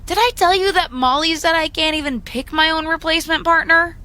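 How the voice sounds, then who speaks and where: A woman's voice: very high-pitched, fairly quick, bright, forceful tone. An actress playing a feisty, stubborn young woman wrestler in video game dialogue, indignant and sarcastic. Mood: teasing, exasperated.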